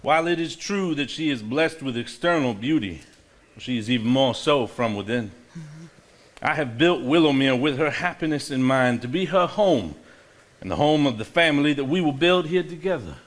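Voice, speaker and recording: A man acting in a live stage play as a sentimental reader of a grieving father's old diary, measured and formal. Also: mid-range voice, expressive, lightly acted. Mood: tender, proud. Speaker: stage actor.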